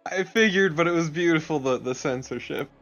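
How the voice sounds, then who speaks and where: A man's voice: fairly high pitch, a little fast, a bit bright, dull audio. A man co-hosting a Let's Play with casual, offhand, blunt commentary on a video game. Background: video game sounds.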